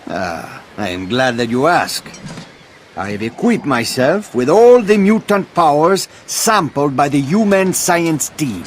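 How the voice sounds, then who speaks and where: A man's voice: a little high, very animated, measured pace, a little hiss or hum. An actor playing a grandiose male villain in a battle suit, delivering pompous, megalomaniac speeches in a video game cutscene. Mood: smug.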